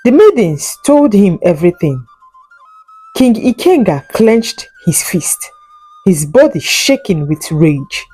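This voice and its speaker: A woman narrating a scripted folk tale in a solemn, deliberate and dramatic storytelling manner. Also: medium pitch, very animated, warm tone, breathy edge, faint music behind, rough recording.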